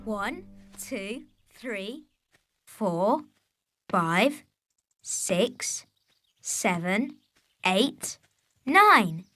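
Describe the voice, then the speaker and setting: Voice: medium pitch, sing-song, extremely slow, pauses often, sharp tone, full character voice. A performer playing a kind, patient, cheerful young boy in a children's cartoon, teaching his friends in an encouraging way. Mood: counting carefully. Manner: patient, encouraging, clearly enunciated. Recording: music under the voice.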